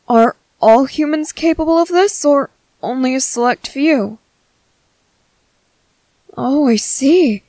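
A woman voicing a gentle young merman in an ASMR roleplay, hesitant, soft-spoken, earnest and apologetic. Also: a little high, no echo. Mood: fascinated. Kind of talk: ASMR roleplay, scripted character acting.

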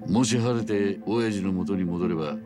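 Actor as a very deep-voiced, grave yakuza man. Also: natural voice. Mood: somber, deliberate. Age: middle-aged. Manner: measured, rough masculine gangster speech. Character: middle-aged yakuza man, grave, world-weary, coolly recounting past. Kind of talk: dramatic video game dialogue. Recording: music behind the voice.

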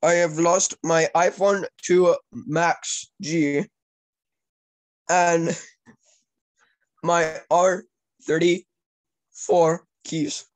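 A man's voice: a little high, fairly even intonation, drawn-out words, pauses often, rough recording. Crude teenage boy prank caller. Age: teens. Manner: crude, foul-mouthed. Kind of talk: casual prank call banter. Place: small room at home, indoors.

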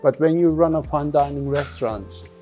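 A middle-aged man from London giving thoughtful interview answers as a business founder, haltingly and often repeating words. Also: a little high, warm tone, faint music behind. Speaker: jeans factory founder being interviewed.

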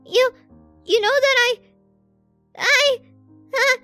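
A woman's voice: very high-pitched, slow, bright, forceful tone, no room sound, faint music behind, heavily acted. A woman playing a sweet, shy, lovestruck student girl with a feisty streak in an anime ASMR roleplay. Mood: flustered, tender. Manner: bashful, hesitant, flustered.

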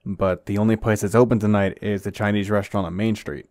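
A man's voice: lowish voice, steady tone, quick pace, darkish tone, dry recording, studio quality, close to an everyday voice. A man voicing a bored young video store clerk, an aloof loner with a casual manner, in a visual novel game. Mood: casual, friendly.

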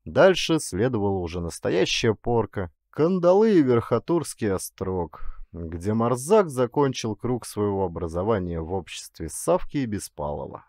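A man narrating a literary story as an audiobook, in an expressive, actorly reading style. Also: lowish voice, moderate pace, no echo, clean studio sound.

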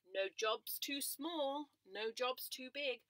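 A woman's voice: sits high, slow pace, fairly bright tone, a little hiss or hum, pitched above the performer's own voice. A woman voicing a bustling, cheerful female pig who talks in a chant-like way.

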